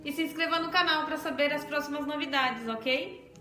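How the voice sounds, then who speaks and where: A woman, hosting a language lesson and reading a practice dialogue in a plain, deliberate way. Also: sits high, pushed and bright, faint music behind. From Brazil. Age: 40s. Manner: plain, deliberate delivery of textbook phrases. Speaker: channel host, language lesson presenter. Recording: roomy echo.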